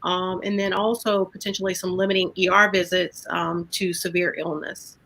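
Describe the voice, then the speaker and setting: Bright-voiced woman doctor from Georgia. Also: on the low side, level tone, moderate pace, gravelly. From Georgia, USA. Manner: hesitant, many fillers. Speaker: family physician. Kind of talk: panel discussion.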